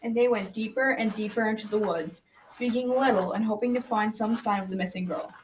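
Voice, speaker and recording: A woman's voice: medium pitch, fairly quick, natural voice. A young female amateur playing a grandfather who narrates a folktale in a radio drama. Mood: storytelling. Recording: distant mic, noisy background.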